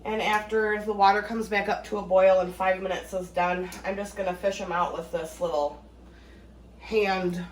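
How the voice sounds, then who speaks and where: A woman hosting a home canning tutorial, talking in a relaxed, chatty way, a little congested from a cold. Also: mid-range voice, moderate pace, reverberant, a little hiss or hum, low-quality recording. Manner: relaxed, chatty, laughs easily. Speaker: home canning channel host. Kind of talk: casual cooking tutorial.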